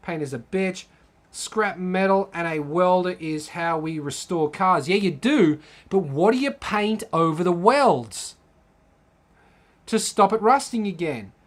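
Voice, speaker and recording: A man's voice: high-pitched, expressive, unhurried. A young man casually chatting on a live stream, rambling, reading comments aloud and swearing freely. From Australia. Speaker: channel host.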